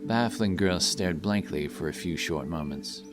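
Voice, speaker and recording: A man reading a scripted fantasy story as narrator, in a dramatic, solemn and measured style. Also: deep voice. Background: music.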